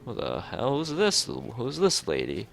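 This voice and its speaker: A man reading a visual novel aloud as a let's player, casually, with halting, rambling asides. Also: mid-range voice, huge pitch swings, gravelly, a bit bright, slight background noise. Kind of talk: casual game narration with side commentary. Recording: music behind the voice. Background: game music.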